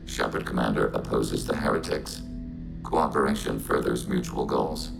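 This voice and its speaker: A calm synthetic machine intelligence, that speaks as many minds at once in a flat, even drone. The voice sits extremely low and is heavily gravelly. A score plays clearly behind it in this game soundtrack. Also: male voice, moderate pace, over music, heavily acted, low-quality recording. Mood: calm, literal. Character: Geth machine platform, calm, collective, literal. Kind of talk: video game cutscene acting.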